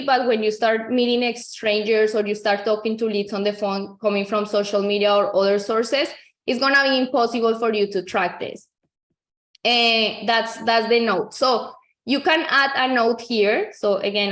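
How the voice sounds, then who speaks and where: A woman from Colombia, a native Spanish speaker with a Colombian accent, giving an informal software tutorial in a conversational, explanatory way, often repeating herself. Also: on the high side. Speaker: real estate agent giving a software tutorial. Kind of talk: informal screen-share tutorial.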